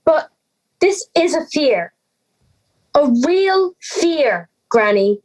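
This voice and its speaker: Woman acting an anxious schoolgirl, high voice, slow delivery, hesitant, pleading, audio drama. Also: big pitch swings, a little mellow, exaggerated voice, rough recording. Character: anxious, timid schoolgirl afraid of being laughed at.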